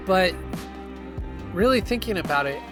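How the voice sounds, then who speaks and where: A man's voice: high voice, lively intonation, a little slow. A man playing a blunt, grumbling young housemate in a naturalistic audio drama, talking casually. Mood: earnest, frank. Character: grumbling but committed young man among haunted housemates. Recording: music under the dialogue. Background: music.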